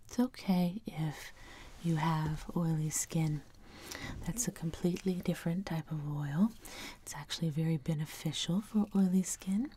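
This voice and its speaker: A woman whispers slowly and soothingly in an ASMR style, very close to the mic. Her hushed delivery is unhurried and bright, with faint noise behind it. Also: low-pitched. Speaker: ASMR video creator. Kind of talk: ASMR whispering, gentle makeup roleplay.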